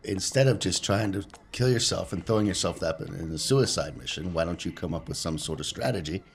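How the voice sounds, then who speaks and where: A man's voice: low-pitched, lively intonation, a little fast, a little hiss or hum. A man taking part as a player in a tabletop role-playing game, talking casually in and out of character.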